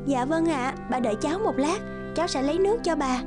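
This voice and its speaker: A woman voicing a kind, polite, soft-hearted young orphan girl in an animated fairy tale. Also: high voice, quick pace, over music. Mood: kind, eager.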